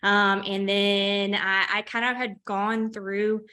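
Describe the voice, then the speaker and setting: A woman's voice: medium pitch, little pitch movement, takes it slowly, bright, forceful tone. A woman in her thirties from Mississippi with a Southern accent, casually sharing her personal story as a co-host. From Mississippi, United States. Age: 30s. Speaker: co-host of a women's Bible reading group. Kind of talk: casual personal testimony in conversation.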